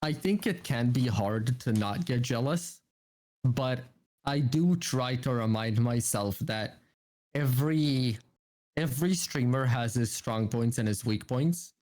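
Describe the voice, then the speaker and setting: A man from Israel who speaks English as a second language, chatting casually on a podcast with quick, joking, stammering restarts. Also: medium pitch, a little mellow. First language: not English. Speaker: podcast co-host.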